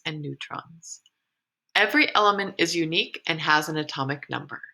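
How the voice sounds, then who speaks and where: Bright-voiced woman teacher reading aloud. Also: low voice, rough recording. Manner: encouraging, explains as she reads, with slips and self-corrections. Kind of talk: reading a science article aloud for a class.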